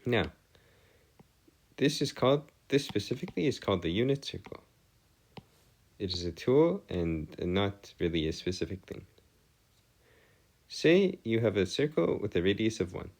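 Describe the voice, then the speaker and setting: A man giving an explanatory math lesson as a tutor, phrasing things formally and pausing deliberately between thoughts. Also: fairly low pitch, sing-song. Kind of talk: explanatory math lesson over worked diagrams.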